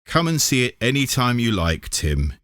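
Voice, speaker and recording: A man's voice: medium pitch, very animated, moderate pace, fairly bright tone, no echo, studio quality, voice pushed up. A man voicing a kindly, hesitant old neighbour in a children's storybook.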